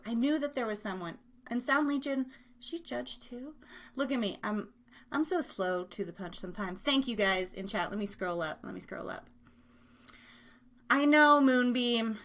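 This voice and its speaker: Female podcast host, higher-pitched voice, chatty and rambling, playful and self-mocking, talking off the cuff to live listeners. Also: fairly bright tone. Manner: playful exaggeration and silly made-up words. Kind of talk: casual live chat show, talking to listeners in chat.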